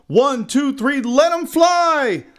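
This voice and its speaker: A man voicing hearty fishermen in a children's storybook, hollering with excitement. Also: extremely high pitch, slow, voice pushed up, full character voice. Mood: gleeful. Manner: gleeful, hollering. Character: hearty excited fisherman dad and his buddies.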